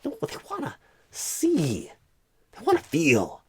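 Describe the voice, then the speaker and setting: A man voicing a clueless, bumbling job recruiter in an ASMR roleplay, earnest and scatterbrained, with halting, oddly stressed phrasing and a husky, breathy voice. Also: high voice, wildly swooping pitch, darkish tone, full character voice. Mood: earnest pep talk. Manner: halting, oddly stressed phrases in a Christopher Walken-style impression.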